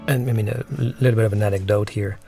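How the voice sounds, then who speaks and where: Male director for commentary track: low, strongly gravelly, reflective, informal, music underneath. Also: fairly even intonation, racing, warm tone. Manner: reflective, searching for words. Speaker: film director giving audio commentary. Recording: music behind the voice.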